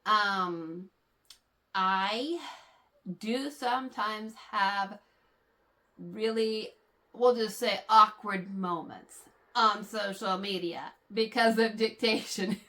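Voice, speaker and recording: A woman's voice: medium pitch, takes it slowly, pushed and bright. A woman knitting vlogger talking casually to her viewers, explaining things patiently with frequent fillers and a slightly congested sound. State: somewhat congested from allergies. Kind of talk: casual talk to camera.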